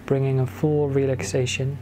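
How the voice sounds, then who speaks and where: A man leading a guided meditation in a soothing manner, giving gentle instructions in short phrases with long pauses between them. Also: mid-range voice, monotone. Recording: clear background noise, ambient sound.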